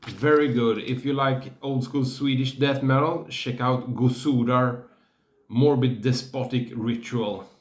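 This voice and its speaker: Slow, halting man in an echoey room. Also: mid-range voice, steady tone. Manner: slow, hesitant, many fillers. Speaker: music reviewer. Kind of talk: casual spoken review.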